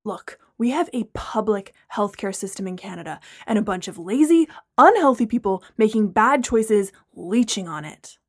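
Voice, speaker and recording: A woman voicing a haughty, boastful young male health fanatic in an audiobook, lecturing in a superior, forceful manner. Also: mid-range voice, lively intonation, moderate pace, few pauses.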